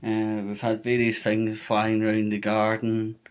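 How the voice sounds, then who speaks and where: Casting: Scottish male vlogger, lowish flat-toned voice, unhurried, emphatic informal advice, muffled lo-fi sound. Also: clean tone. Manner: emphatic, repetitive, checks in with "right?". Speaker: vlogger giving storm safety advice. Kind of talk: informal spoken advice to viewers.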